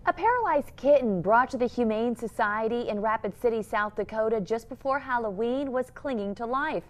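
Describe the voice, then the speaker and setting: A woman's voice: on the high side, moderate pace. A woman anchoring a TV newscast, reading scripted stories and teases. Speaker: TV news anchor. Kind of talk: scripted news presenting.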